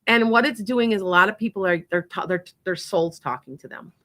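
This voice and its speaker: A woman's voice: mid-range voice, a little fast, pushed and bright. A woman with a Wisconsin accent hosting a psychic radio show, chatting in a rambling way and laughing often. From Wisconsin, USA. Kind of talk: casual chat and psychic readings.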